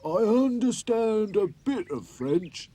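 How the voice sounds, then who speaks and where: A man voicing a small, kindly, bumbling male rag doll, speaking slowly and sounding puzzled. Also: high-pitched, very animated, warm tone, pitched above the performer's own voice, exaggerated voice.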